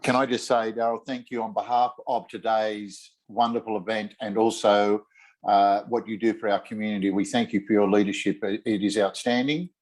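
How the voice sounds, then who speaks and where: A man's voice: lowish voice, fairly even intonation, moderate pace, a little mellow. An older man moderating an online panel, thanking each guest in effusive, formal words over a video call.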